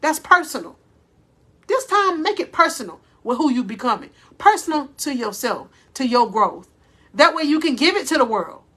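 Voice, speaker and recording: A woman life coach giving a faith-based motivational talk, with a loud voice, speaking deliberately slowly and calling her listeners "y'all". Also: high voice, sing-song. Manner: loud voice by her own account.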